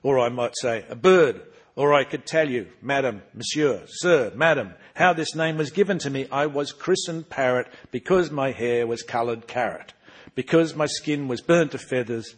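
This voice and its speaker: A man with a husky voice reading aloud as a cheeky, bookish boy narrator, with animated, emphatic storytelling. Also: mid-range voice, normal speed, voice pushed up, lightly acted. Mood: spirited. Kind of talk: reading aloud from his own book.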